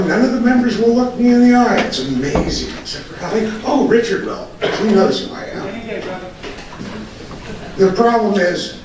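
An older man delivers a biting, sarcastic public comment, his voice sitting high for a man. He sounds distant, caught from the audience in a large council chamber full of echo. A faint hum of room noise runs underneath. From England. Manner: angry, sarcastic, scolding. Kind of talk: public comment at a county board meeting. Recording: echoey hall from far off, noisy.

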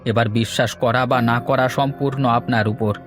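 A man's voice: mid-range voice, fairly even intonation, moderate pace, soft background music, lightly acted. A man voicing a loyal, cautious old servant who speaks earnestly and deferentially in a horror cartoon. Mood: earnest, warning.

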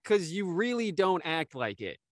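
A man's voice: sits high, big pitch swings, measured pace. A man playing a hungover, irritable and dismissive young man in an audio drama, grumbling and curt. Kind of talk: naturalistic audio drama read-through. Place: home, on a video call.

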